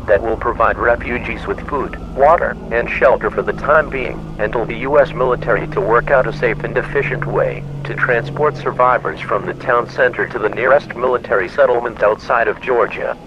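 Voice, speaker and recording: A man's voice: medium pitch, close to an everyday voice. A man playing an impersonal government emergency alert announcer, reading a scripted broadcast in a formal way. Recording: muffled lo-fi, loud background noise.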